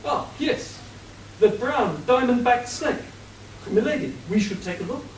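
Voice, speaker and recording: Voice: mid-range voice, animated, measured pace, lightly acted. An amateur actor playing an earnest, officious police inspector in a radio play. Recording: roomy, distant, faint hiss.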